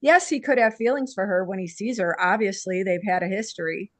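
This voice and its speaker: A woman's voice: medium pitch, a little fast, no room sound. A woman actor sharing opinions on a script in a casual, conversational group discussion.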